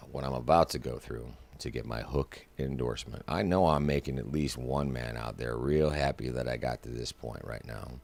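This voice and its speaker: A man with a smooth, buttery voice, rambling casually to his viewers while playing a flight simulator. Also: rumbling low, moderate pace, darkish tone. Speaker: flight sim content creator. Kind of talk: casual gameplay commentary.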